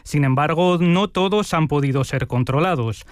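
Man, news anchor, formal, measured, slightly high voice, dry booth. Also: dry recording. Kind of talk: scripted news reading.

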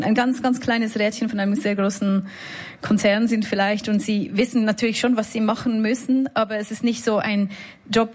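A woman from Switzerland with a Swiss German accent, speaking as a guest into a studio microphone. She talks in a casual, thoughtful way and often mocks herself with a small laugh. Her voice sits at a middling pitch. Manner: reflective, self-deprecating, laughs between words. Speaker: musician guest. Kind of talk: casual interview talk. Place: radio studio.

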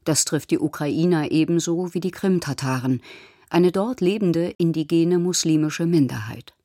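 A woman reading scripted documentary news narration in a measured, matter-of-fact way, recorded as a studio voice-over. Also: deep voice, dark tone, no room sound.

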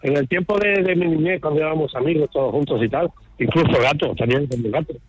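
A man's voice: a little high, fast talker, slightly rough, no echo, rough recording. A man from La Mancha, calling in to a radio show and speaking in a plain, matter-of-fact way. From La Mancha, Spain. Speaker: radio caller. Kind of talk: phone-in chat.

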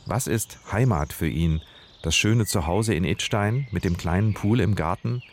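A man narrating a radio reportage in a calm, scripted storytelling manner. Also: low-pitched, moderate pace, a little hiss or hum.

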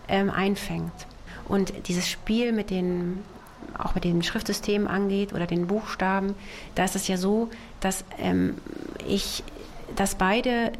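A woman novelist with Russian as her first language, raised in Germany, answering interview questions thoughtfully with many pauses, fillers and restarts. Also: medium pitch, slight background noise. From Germany, child of Soviet immigrants. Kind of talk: literary interview.

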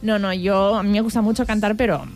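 Modest, self-deprecating actress. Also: female voice, mid-range voice, fairly even intonation, brisk, a little mellow. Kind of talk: interview chat. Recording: faint background music.

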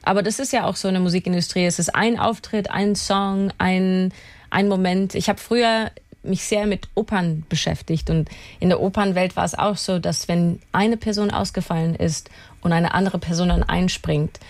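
A woman's voice: lowish voice, fairly even intonation, moderate pace, dry recording. A chatty singer-songwriter with a Canadian accent, guesting on a radio show. She laughs easily and drops English words into her talk, with a dry, close studio sound. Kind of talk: radio interview, casual chat.

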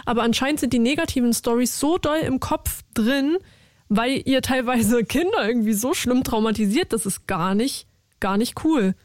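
A woman's voice: fairly high pitch, moderate pace, no echo, studio quality. Chatty young woman radio host. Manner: chatty, lively, slangy and warm toward callers. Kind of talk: casual radio call-in chat. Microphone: studio mic.

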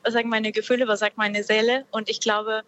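A woman's voice: fairly high pitch, little pitch movement, a little fast, a bit bright, a little hiss or hum. A dull, lo-fi line carries a woman. She talks casually and reflectively, often searching for words as she goes. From Peru. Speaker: caller, guest on a radio show. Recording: dull, lo-fi connection.

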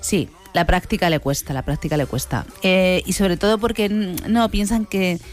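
A woman's voice: lowish voice, moderate pace, faint music behind. A woman casting director giving feedback on a radio talk show, reflective and repeating phrases for emphasis.

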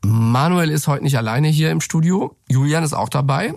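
The host's chair of a podcast in a deadened little studio: a man with a fry-laden voice, leaning into his next question. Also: on the high side, moderate pace, a little mellow, dry recording. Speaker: host, interviewer. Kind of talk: conversational interview questions.

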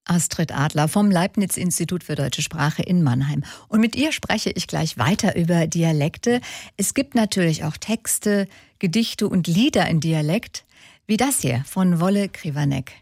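A woman's voice: fairly low pitch, lively intonation, a little slow, darkish tone, dry recording, studio quality. A woman presenting a radio programme in a composed, structured way, with scripted introductions and interview questions. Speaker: radio host interviewing an expert. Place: radio studio.

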